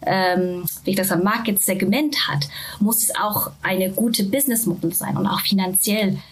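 A woman from Japan with a Japanese accent, a venture capital partner speaking halting German full of fillers and English words in a casual interview. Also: medium pitch, breathy edge, low-quality recording.

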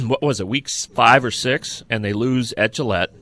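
A man's voice: mid-range voice, moderate pace, pushed and bright. A man co-hosting a sports podcast, giving opinionated football analysis in a conversational, casual style.

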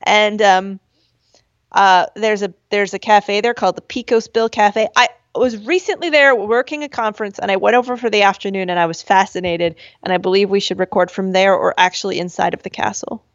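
Chatty high-voiced woman, dry lo-fi sound. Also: steady tone, a little fast, no echo. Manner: chatty, laughing, acts out quotes in a high squeal. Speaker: podcast co-host. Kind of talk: casual chat. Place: at home with a dog. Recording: dull, slightly lo-fi sound.

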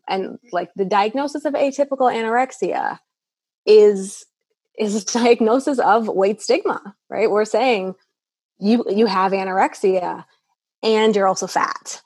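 A woman's voice: mid-range voice, breathy edge, dry recording. A woman clinician answering interview questions earnestly, with frequent restarts and fillers. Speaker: eating disorder clinician, guest.

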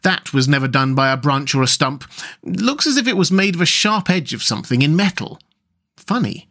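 A man voicing an excitable, bossy but kind-hearted male rat in a storybook, with an emphatic manner. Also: on the high side, normal speed, full character voice. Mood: puzzled. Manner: exclamatory, impatient, emphatic. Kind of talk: storybook character voice.

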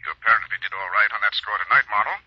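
An actor playing a rich older husband on the telephone in a 1940s radio drama, formal, hesitant and suspicious. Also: male voice, lowish voice, breathy edge, no room sound. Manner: halting, polite, formal. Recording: dull, dry vintage radio, phone call.

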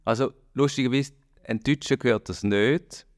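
A man's voice: medium pitch, moderate pace, no room sound, clean studio sound. A man in his late forties with a Swiss German accent, a guest on a podcast, speaking hesitantly and thoughtfully with many fillers. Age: 40s. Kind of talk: casual chat.

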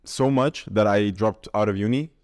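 Animated young man with a low, smooth voice. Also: moderate pace, dry recording, clean studio sound. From Syria. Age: 20s. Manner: animated storyteller, laughs often. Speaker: podcast guest, mindset coach. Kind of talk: casual interview chat.

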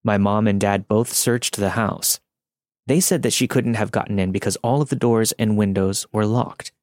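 A man's voice: on the low side, fairly even intonation, normal speed, darkish tone, no echo, clean studio sound. A man reading a scripted first-person spooky story as a podcast narrator. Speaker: podcast host reading listener stories.

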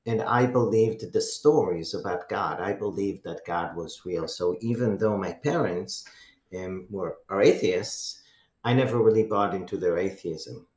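A middle-aged man from Israel with an Israeli accent and Hebrew as his first language, telling his personal testimony as a radio guest in an earnest, measured way. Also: low-pitched, darkish tone, roomy sound. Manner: earnest, measured storytelling with many "um"s.

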